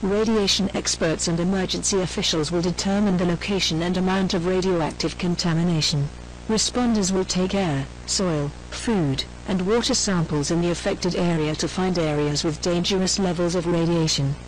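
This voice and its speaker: A woman reading a scripted emergency announcement in a formal, measured, impersonal manner. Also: fairly low pitch, a little hiss or hum, rough recording.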